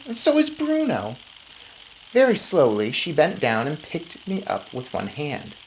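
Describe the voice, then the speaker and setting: Low-voiced, animated woman reading a story. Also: a little hiss or hum, low-quality recording. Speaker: story reader. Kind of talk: expressive children's audiobook-style read-aloud.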